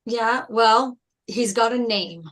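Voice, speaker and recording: A woman's voice: on the high side, slow pace, a bit bright. A woman reading a proud, protective and blunt teenage boy athlete at a table read of a film script. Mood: confrontational. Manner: confrontational, blunt.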